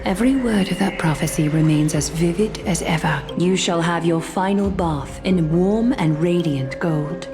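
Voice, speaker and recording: A woman's voice: fairly low pitch, normal speed, hardly any pauses, close to an everyday voice. An actress playing a regal, serene female leader and demigod in a video game, speaking in a formal, gracious and composed manner. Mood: solemn. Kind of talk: dramatic video game voice acting. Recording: loud music behind the voice. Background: game music.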